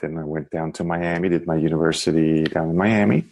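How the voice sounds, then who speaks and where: A man's voice: rumbling low, steady tone, a little fast, a little mellow. A middle-aged man with an American accent, raised in New York, telling his life story easily as a podcast guest. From Venezuela, raised in New York. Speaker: guest, lifestyle blogger. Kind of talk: interview storytelling.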